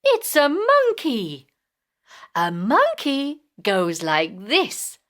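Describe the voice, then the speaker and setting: A woman reading a children's storybook aloud in a slow, exaggerated, simple teaching style. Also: sits high, huge pitch swings, a bit bright. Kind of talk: read-aloud storybook narration.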